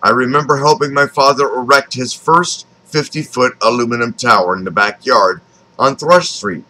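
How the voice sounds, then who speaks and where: A man in his fifties from Texas with an American accent reads his own autobiography aloud, phrasing it deliberately with frequent pauses. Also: mid-range voice, pushed and bright, rough recording. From Texas, United States. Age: 50s. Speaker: author reading his own memoir. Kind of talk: scripted autobiographical narration, read aloud.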